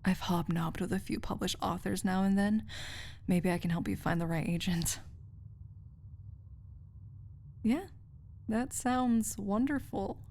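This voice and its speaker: A woman playing a lovestruck research assistant in an intimate romantic audio roleplay, tender and wry, with soft, lingering phrasing. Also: mid-range voice, normal speed, slight background noise, close to an everyday voice. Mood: dreamy, happy.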